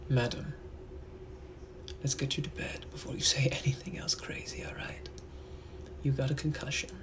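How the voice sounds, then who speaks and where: A polished, dangerous Victorian-era British gentleman, put on by a young man with a British accent. He drops into hushed, breathy half-whispers at a middle pitch. He coos "darling" at everyone in a smooth, courtly tone. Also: level tone, measured pace, pauses often, close to an everyday voice. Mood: soothing, firm. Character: suave, menacing 1800s British gentleman. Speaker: sketch creator. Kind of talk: POV comedy sketches.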